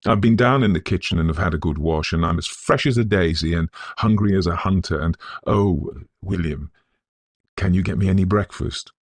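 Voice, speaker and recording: A man voicing a hearty, impulsive young gentleman in a storybook, breezy and emphatic, with a husky edge. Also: deep voice, normal speed, no echo. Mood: cheerful.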